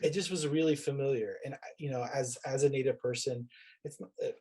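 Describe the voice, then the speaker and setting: An American man, a university teacher, reflecting informally on a panel with halting phrases that trail off. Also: mid-range voice, steady tone, warm tone, breathy edge.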